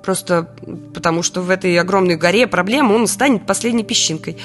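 A woman's voice: on the low side, brisk, soft background music, close to an everyday voice. An actress playing a weary, wry woman living through wartime blackouts, in an intimate audio drama diary monologue. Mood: defiant, brooding.

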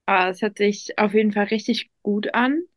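A woman's voice: medium pitch, normal speed, no room sound. Young woman interviewer, dry sound. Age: young adult. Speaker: youth reporter, interviewer. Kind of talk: casual interview questions.

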